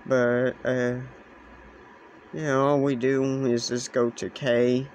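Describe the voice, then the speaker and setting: A man with a mid-pitched voice walks through a device in a casual, halting manner. He speaks slowly and often repeats himself. The handheld recording carries clear background noise. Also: flat delivery, darkish tone, muffled recording. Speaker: hobbyist presenter. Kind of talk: casual explainer demonstration. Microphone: handheld camera or phone.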